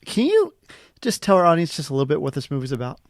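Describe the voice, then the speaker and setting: A man's voice: a little high, wildly swooping pitch, quick pace, no echo. A man hosting a casual, chatty interview, talking with effusive fan enthusiasm. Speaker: interview host.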